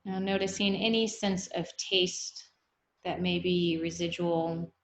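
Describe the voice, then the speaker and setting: A woman guiding a meditation, speaking in an unhurried, gently instructive way with long pauses. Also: lowish voice, fairly even intonation. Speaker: meditation guide. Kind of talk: guided meditation, body scan. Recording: echoey room.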